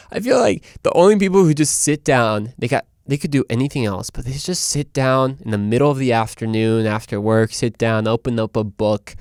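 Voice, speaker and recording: Man, clear smooth voice, dry close sound, informal show host, conversational. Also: mid-range voice, dry recording. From Guadalajara, Mexico. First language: Spanish. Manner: informal, conversational, self-interrupting. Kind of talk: casual monologue to listeners.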